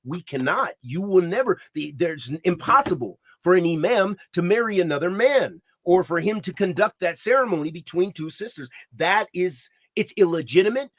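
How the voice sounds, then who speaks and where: A man co-hosting a podcast, talking in an emphatic, preachy way with many restarts and Arabic religious terms, getting louder when heated. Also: high voice, expressive, normal speed, few pauses, no echo. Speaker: podcast co-host. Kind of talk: impassioned podcast discussion.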